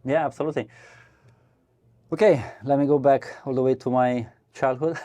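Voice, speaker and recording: Guy, mellow low-key voice, lots of ums and restarts, chatting as a podcast guest. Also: fairly even intonation, normal speed. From Romania. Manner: hesitant, many fillers and restarts, laughs easily. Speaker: guest, startup co-founder. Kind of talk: casual interview answers. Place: brewery podcast studio.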